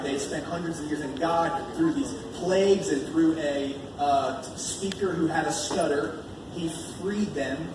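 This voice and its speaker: An American man preaching an informal, conversational sermon, earnest and repeating key phrases for emphasis as he reads and explains scripture. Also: on the high side. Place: large echoey indoor church meeting space. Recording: echoey room, distant mic, background noise.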